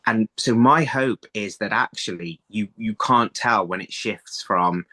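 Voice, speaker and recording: Man, middle-aged, fairly low pitch, casual interview guest, circles back and rephrases, video call. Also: measured pace, sharp tone. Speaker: interview guest, audio drama writer. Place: small room at home, on a video call. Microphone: phone on a video call.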